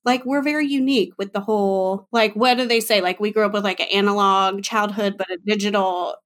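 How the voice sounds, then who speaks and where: A woman in her late thirties hosting a casual interview, chatty and giggly, with quick self-mocking asides. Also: mid-range voice, fairly even intonation, fairly bright tone. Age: 30s. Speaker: podcast host.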